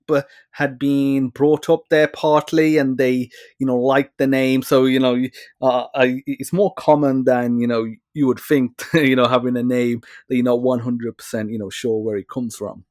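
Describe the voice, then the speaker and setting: A man's voice: medium pitch, a little mellow, dry recording. Rambling male podcast host, dry sound. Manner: rambling, heavy "you know" fillers. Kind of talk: podcast interview questions.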